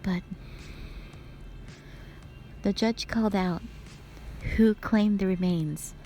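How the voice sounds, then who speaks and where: A woman playing a wistful, scheming yet playful witch sister in an audio roleplay, speaking softly and reflectively to the listener. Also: mid-range voice, slow pace, slight background noise. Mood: wistful, solemn.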